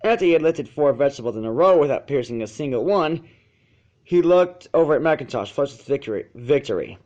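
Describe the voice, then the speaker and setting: A man with a medium-pitched voice narrates a story as if from a storybook. He occasionally trips over a phrase, and the home recording is a bit dull and lo-fi. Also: animated, fairly quick, darkish tone. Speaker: narrator of fan fiction. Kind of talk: storybook narration read aloud.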